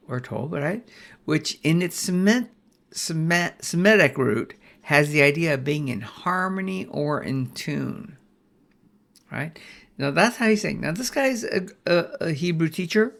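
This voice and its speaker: An older man with a gravelly, creaky voice walks through a Bible study lesson in a relaxed, folksy way. He often restarts his phrases and tosses in "right?" as he goes. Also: a little high, measured pace. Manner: folksy, rambling, with many restarts and "right?" checks. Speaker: Bible teacher.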